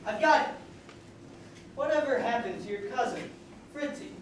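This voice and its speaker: A man's voice: very high voice, normal speed. Man playing an eager loyal counselor in a hall. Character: male camp counselor Dave, eager and loyal. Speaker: camp counselor in an amateur play. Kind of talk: amateur stage play acting. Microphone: far room mic. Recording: noisy echoey hall from far off.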